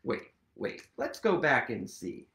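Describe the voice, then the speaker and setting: A man in his thirties reads a picture book to children in a highly animated voice that swings up and down. He takes it very slowly, with playful wonder and a little creak, asking the listeners questions. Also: a little hiss or hum. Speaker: storytime reader. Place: small room at home, indoors.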